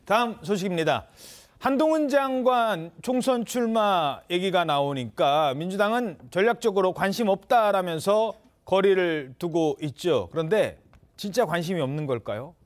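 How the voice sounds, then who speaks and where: A male television news host with a high voice that swings widely as he talks. He tees up stories and questions his panel in a brisk, animated, conversational way. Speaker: news talk show host. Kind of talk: broadcast political talk.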